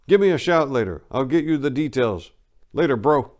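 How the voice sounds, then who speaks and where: A man's voice: medium pitch, expressive, normal speed, darkish tone, voice pushed up, close to an everyday voice. A man voicing an eager, friendly groundskeeper who calls out in casual slang. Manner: calling out, slangy. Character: eager, shouting male softball field groundskeeper, casual and friendly.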